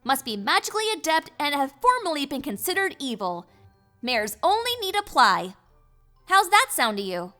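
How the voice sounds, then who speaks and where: Woman acting high, lively, awkward pony princess. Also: moderate pace, faint music behind, heavily acted. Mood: wry self-mockery. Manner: flustered, wry delivery. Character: bookish young pony princess, awkward, sarcastic, earnest. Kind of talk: fan fiction audio drama acting.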